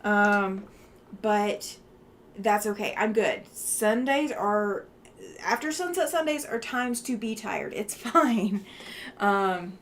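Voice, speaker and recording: A woman's voice: mid-range voice, slow, a little hiss or hum. A tired woman with a Southern US accent chatting casually while she works on a quilt at home, thinking aloud with fillers and frequent laughs. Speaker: quilting livestream host.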